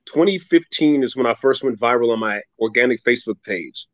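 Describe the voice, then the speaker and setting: A man in his thirties telling a personal story as a guest on a video-call interview, animated and dramatic, leaping up in pitch when he acts out other people. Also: fairly quick, dry recording. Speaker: guest speaker. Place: home room with ceiling fan, indoors. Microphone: video call webcam. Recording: dull, dry video call audio.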